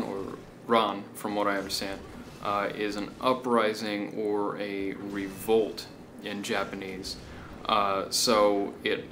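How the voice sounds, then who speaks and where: A man hosting a casual explanatory commentary, hesitant as he goes and often checking in with the listener. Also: fairly low pitch. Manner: hesitant, checking in with "right?" and "okay?". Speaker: video essay host. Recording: steady background noise.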